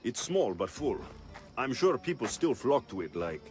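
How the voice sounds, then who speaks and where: A man's voice: mid-range voice, big pitch swings, moderate pace, darkish tone, faint music behind, natural voice. An actor playing a pragmatic young male mercenary with a terse, dry delivery in a video game. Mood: dry, assured. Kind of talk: video game cutscene acting.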